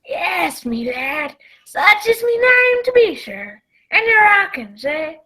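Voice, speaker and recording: A woman's voice: very high-pitched, very animated, slow, bright, forceful tone, heavily acted. A woman playing a folksy old male sea cook in a radio play, drawling. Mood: jovial, coaxing.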